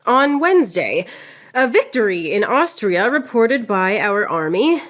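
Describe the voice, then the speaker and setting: A woman's voice: medium pitch, big pitch swings, a little slow. A woman playing a proud, witty, wounded swordswoman poet in radio theater, with a lofty, theatrical delivery. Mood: wry.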